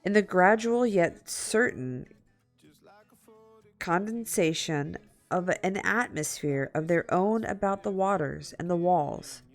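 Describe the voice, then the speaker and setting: A woman streamer reading a story aloud in a dramatic, halting way, slipping into casual asides. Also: lowish voice, lively intonation, soft background music. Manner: halting, repeats and corrects stumbled words.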